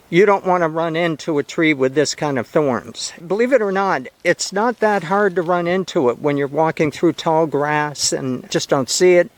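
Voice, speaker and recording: A woman in her sixties giving an informal, emphatic nature explanation to camera, like a farm vlogger telling a story. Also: deep voice. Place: outdoors among trees on a farm. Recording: clear outdoor background noise. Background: outdoor ambience.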